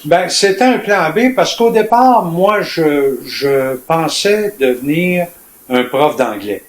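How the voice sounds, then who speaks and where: An older man in his seventies from Quebec, with a Quebec French accent, telling his life story in a reflective, colloquial, measured way as a retired police officer. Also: on the high side, animated. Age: 70s. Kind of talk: personal storytelling in an interview. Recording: echoey room with faint noise.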